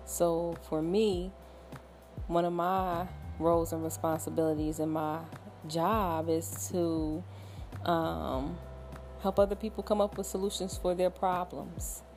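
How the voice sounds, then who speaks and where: A woman from Ohio hosting her own podcast at home late at night, talking casually in a soft, smooth voice with lots of fillers and small laughs. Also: fairly low pitch, slow, soft background music. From Cincinnati, Ohio. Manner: relaxed, chatty, with frequent fillers and soft laughs. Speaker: podcast host. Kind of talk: casual solo podcast talk.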